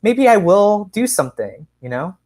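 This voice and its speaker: A man's voice: high-pitched, very animated, moderate pace, a little mellow. Man, thirties, podcast co-host, casual conversational talk, video call. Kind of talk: casual chat about a short story. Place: room at home, video call.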